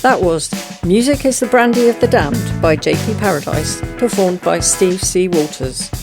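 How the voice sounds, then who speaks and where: A woman's voice: fairly low pitch, normal speed. A woman reading a scripted closing announcement as the programme's announcer. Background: music with drums.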